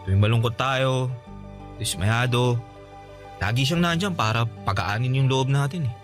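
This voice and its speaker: A man acts an easygoing young friend who forgives readily and reassures his buddy. The voice is mid-pitched and casual, as in radio drama dialogue, with faint music underneath. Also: moderate pace, close to an everyday voice. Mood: fond, wistful.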